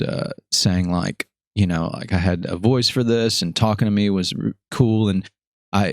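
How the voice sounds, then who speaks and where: A man's voice: lowish voice, no room sound, clean studio sound. A man hosting a podcast in casual chat, rambling upbeat and correcting himself as he goes. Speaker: podcast host.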